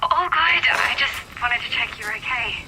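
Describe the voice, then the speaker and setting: Over clear background noise, a fairly high-voiced woman speaks softly and intimately. She is a supportive confidante in an audio drama, gently probing. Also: animated, normal speed, rough recording. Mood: teasing, caring. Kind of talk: naturalistic audio drama acting.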